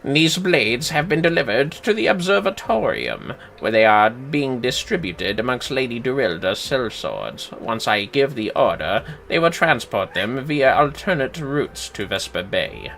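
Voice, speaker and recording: A man's voice: mid-range voice, sing-song, unhurried, gravelly, few pauses, a bit bright, a little hiss or hum, voice pushed down, heavily acted. A man voicing a curt, disdainful police inspector in a video game, clipped and condescending. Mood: grudging. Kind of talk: video game dialogue read aloud.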